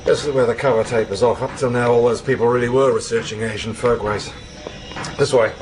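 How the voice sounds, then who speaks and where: A man's voice: mid-range voice, fairly even intonation, a little fast, soft background music, natural voice, low-quality recording. An actor in a radio drama playing a crude, blustering, middle-aged Australian intelligence man who likes his drink and talks in slang. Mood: businesslike, confiding. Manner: brash, slangy, opinionated.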